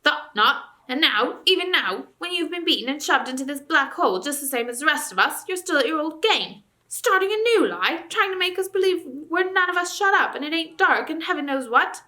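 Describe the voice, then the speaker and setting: Storybook dwarf, rude and shouty, a woman doing the voice; keep it bright and on the high side. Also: expressive, fairly quick, hardly any pauses. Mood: indignant. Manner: loud, gruff and quarrelsome. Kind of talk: audiobook character reading.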